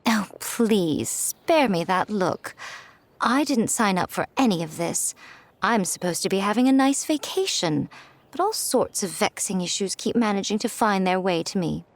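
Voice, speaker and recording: A woman's voice: medium pitch, lively intonation, moderate pace, dry recording. Poised, wry, elegant lady. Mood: wry, weary. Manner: wry, languid, teasing. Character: poised, wry, self-assured elegant woman, teasingly aloof. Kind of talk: video game character acting.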